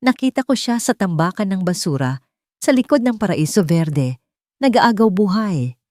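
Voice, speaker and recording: A woman's voice: mid-range voice, very animated, moderate pace, a little mellow, no room sound, studio quality, close to an everyday voice. A Filipino-accented woman voicing an earnest, suspicious wealthy man, firm and accusing, in an audio drama. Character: earnest, suspicious wealthy adult son, kind-hearted man.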